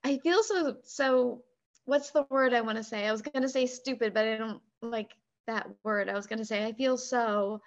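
Chatty woman with a high voice. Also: moderate pace, dull audio. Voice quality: high, youthful-sounding voice. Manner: chatty, rambling with restarts. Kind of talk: casual chat.

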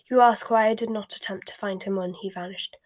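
A woman reading the lines of a cold, sardonic middle-aged male wizard in a hushed, breathy voice. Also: mid-range voice, fairly even intonation, no echo, lightly acted. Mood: cool, sardonic. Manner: slow, sneering, measured.

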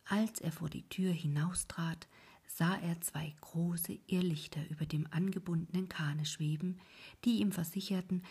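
A woman hosting a sleep podcast, reading an old fairy tale in a soft, calm whisper. Her voice is breathy and sits a little low.

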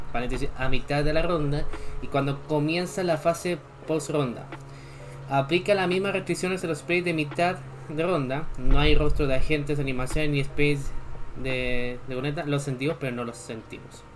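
A young man from Chile, a streamer reading game patch notes aloud and chatting casually with viewers between points. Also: a little high. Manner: casual, chatty asides between read-out items.

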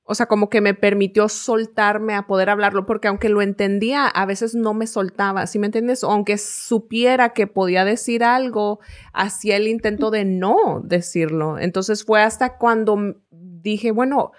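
A woman's voice: mid-range voice, steady tone, moderate pace. A woman with a Mexican accent co-hosting a podcast, reflecting in casual chat and often checking whether she is understood. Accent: Mexican Spanish. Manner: reflective, keeps asking "you know?". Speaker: podcast co-host.

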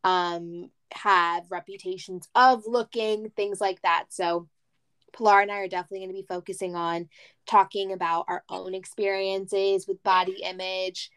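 A woman in her twenties co-hosting a podcast, talking in a relaxed, casual way with plenty of "like.". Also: mid-range voice, moderate pace, clean tone. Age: 20s. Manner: relaxed, uses "like" often. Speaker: podcast co-host. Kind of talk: casual chat.

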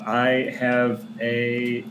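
A man's voice: mid-range voice, monotone, very slow, background noise. Wry Californian man, echoey. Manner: wry, self-deprecating. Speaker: panelist, YouTuber. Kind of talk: casual panel chat.

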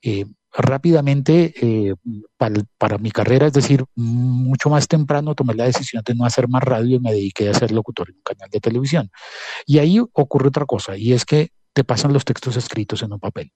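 A man's voice: mid-range voice, brisk, dark tone, no room sound. A former broadcaster speaking on a panel, hesitant and self-aware, telling stories about his podcast. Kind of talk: reflective panel talk.

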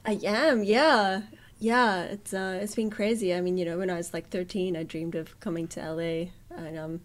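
A woman's voice: on the low side, steady tone, moderate pace. A woman in her thirties from New Zealand with a slight New Zealand accent, chatting as a musician guest in an interview, laughing often and telling stories with animation. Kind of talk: casual interview chat.

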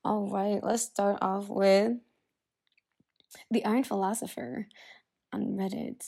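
A young woman hosting a casual solo podcast, her voice hoarse, husky and raspy while she recovers from being sick, chatty and quick to trail off and restart. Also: mid-range voice, flat delivery, mellow. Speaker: podcast host. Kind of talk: casual solo podcast, reading posts aloud.

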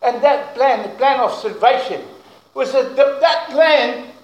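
A man preaching a sermon as pastor, speaking English as a second language with broken grammar, loud and emphatic, slowing down to stretch key words. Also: very high-pitched. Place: church. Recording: very echoey room.

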